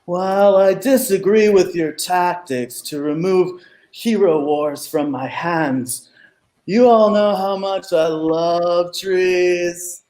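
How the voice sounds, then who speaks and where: A man's voice: high voice, slow, a little mellow. A middle-aged man playing an irresponsible, game-obsessed stoner superhero in a comedy radio play over a video call. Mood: unbothered. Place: room at home, video call.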